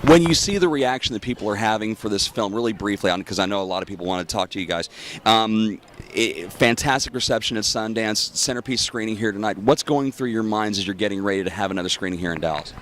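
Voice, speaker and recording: Low-voiced brisk red carpet interviewer. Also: male voice, fairly bright tone. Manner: brisk, leading questions. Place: outdoor red carpet by a street.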